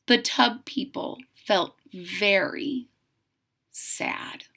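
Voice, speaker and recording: A woman's voice: mid-range voice, drawn-out words, creaky, sharp tone. A woman in her thirties or forties reading a children's picture book aloud, with dramatic emphasis and chatty asides. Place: room at home.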